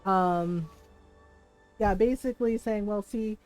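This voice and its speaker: A woman chatting casually on a live game stream in a candid, sweary, animated storytelling way, who grew up speaking Tagalog, English and Spanish. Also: mid-range voice, slow, soft background music.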